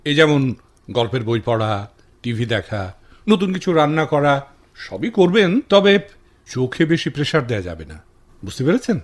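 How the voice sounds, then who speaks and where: A man's voice: a little high, big pitch swings, moderate pace, long pauses. A man acting a polite brother-in-law who gives advice, in a Bengali radio drama. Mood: advising.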